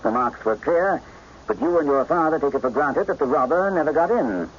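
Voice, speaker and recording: A man playing a polite, methodical detective who asks measured, probing questions in a radio drama. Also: a little high, expressive, natural voice. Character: shrewd English gentleman detective, polite, coolly methodical. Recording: muffled radio drama recording, faint noise.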